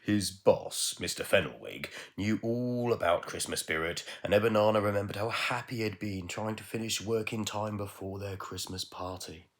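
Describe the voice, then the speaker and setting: A man with a low voice reads a storybook aloud. He is measured and expressive, leaving dramatic pauses as he tells it. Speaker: teacher reading a bedtime story.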